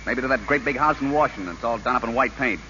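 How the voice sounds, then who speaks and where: Actor as a brash, sharp-voiced slangy gambler. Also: male voice, medium pitch, fast, close to an everyday voice. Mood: brash, friendly. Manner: slangy tough-guy talk, breezy and dismissive. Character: cocky big-city gambler racketeer, brash but loyal. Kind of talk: 1930s-40s radio drama acting. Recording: muffled old radio broadcast, faint noise.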